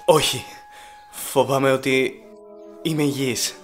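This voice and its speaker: A man voicing a naive, eager, smitten young hero, earnest and bashful, in an animated film fan dub. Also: medium pitch, soft background music, lightly acted.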